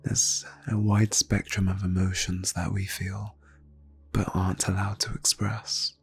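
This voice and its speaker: A man leading an ASMR guided meditation in a soft, breathy near-whisper, phrasing slowly and soothingly with long pauses. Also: deep voice, little pitch movement, soft background music. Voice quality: breathy, hushed.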